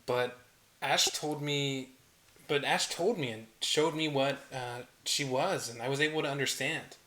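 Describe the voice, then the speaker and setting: A man's voice: mid-range voice, measured pace, sharp tone, reverberant, slight background noise. A man reading a curious young human at a table read, casual and a bit hesitant. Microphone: online voice call.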